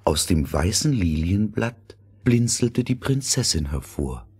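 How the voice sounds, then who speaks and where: A man narrating a fairy tale from a script as a storyteller, with a gravelly, husky voice. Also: lowish voice, big pitch swings, measured pace, a little mellow.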